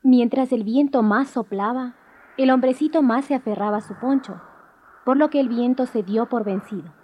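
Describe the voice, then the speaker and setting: A woman's voice: a little high, normal speed, darkish tone. A woman giving a scripted storytelling narration of a traditional legend as the narrator of a radio drama. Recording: faint background noise.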